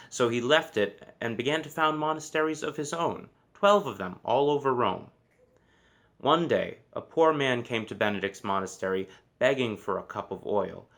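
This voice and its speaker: A man narrating a children's story from a book, with expressive, measured phrasing and deliberate emphasis. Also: mid-range voice. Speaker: storybook reader.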